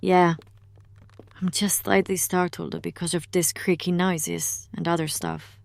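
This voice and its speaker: An actress playing a guarded demonologist in an audio drama, in an understated conversational way. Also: female voice, on the low side, little pitch movement, measured pace, creaky, dry recording. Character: adult female demonologist, guarded and casual.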